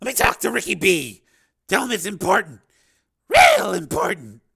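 A man's voice: high voice, huge pitch swings, moderate pace, vocal fry, pushed and bright, pitched above the performer's own voice, exaggerated voice. A man voicing a vicious, derisive pimp in a dramatic story reading, with a snarling, hissing delivery. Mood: slick, pleased. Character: vicious, sneering male pimp Rico, pretty and flamboyant.

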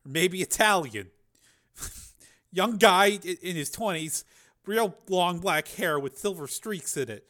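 A man's voice: high-pitched, animated, slow pace, sharp tone, pitched above the performer's own voice, heavily acted. A man voicing a polite, nervous, battered boy who halts and stumbles over his words in an audiobook. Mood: bitter, hesitant. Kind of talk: audiobook character voice.

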